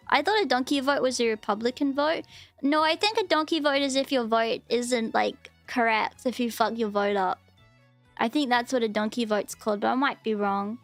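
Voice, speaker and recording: A young woman talks loosely to her stream, full of "um"s and "like"s. Her voice sits high. The sound is close and dry, with faint music playing underneath. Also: a little slow, pauses often, dry recording. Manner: rambling, filler-heavy, chatty. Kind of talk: casual live-stream chat.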